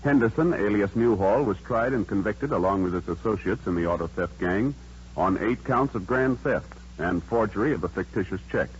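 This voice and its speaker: A man's voice: lowish voice, lively intonation, normal speed, a little hiss or hum. An American man announcing in a formal, solemn, official 1950s radio narration style. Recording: dull vintage radio recording.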